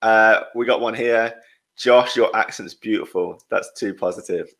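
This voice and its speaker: Low gravelly young English man chatting. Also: moderate pace, fairly bright tone. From England, Midlands. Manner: chatty, reads out comments, laughs often. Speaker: podcast host. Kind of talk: casual podcast chat.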